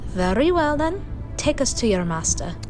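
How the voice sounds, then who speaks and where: A woman playing a plucky, sceptical young travelling companion with a wry, expressive delivery in an audio drama. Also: fairly high pitch, normal speed. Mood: uneasy sarcasm. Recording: music under the voice.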